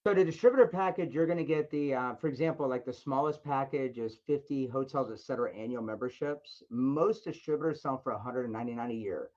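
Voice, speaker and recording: A man's voice: medium pitch, quick pace, few pauses, a little mellow. A man hosting a sales conference call, answering questions in an encouraging way and often repeating his phrases. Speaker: host of a distributor conference call. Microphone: conference call line. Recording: dull lo-fi conference call audio.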